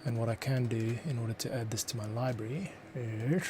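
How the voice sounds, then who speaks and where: Male composer, medium-pitched, thinking aloud casually on a livestream, with typing and background noise. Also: fairly even intonation, a little fast. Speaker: composer demonstrating his workflow. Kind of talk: casual livestream work commentary. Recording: clearly noisy room, keyboard clicks. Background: typing, clicks, background noise.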